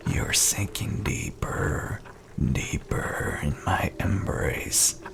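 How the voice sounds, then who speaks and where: A man playing a sinister, possessive entity that taunts its sleepless victim in a dark horror audio roleplay, with a gravelly, breathy whisper and a menacing, intimate manner. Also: low-pitched, noisy background, full character voice.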